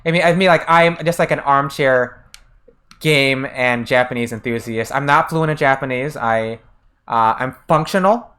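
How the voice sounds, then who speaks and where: Chatty American streamer, halting and casual. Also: mid-range voice, sharp tone. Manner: chatty and hesitant, trails off and restarts often; answers chat messages between gameplay. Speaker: game streamer and translator. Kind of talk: casual live-stream commentary while playing.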